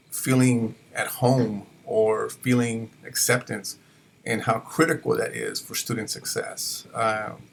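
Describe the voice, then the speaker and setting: A man's voice: medium pitch, vocal fry, fairly bright tone, breathy edge. A college faculty man reflecting on his teaching in a hesitant way, with many fillers and restarts. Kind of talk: reflective personal talk.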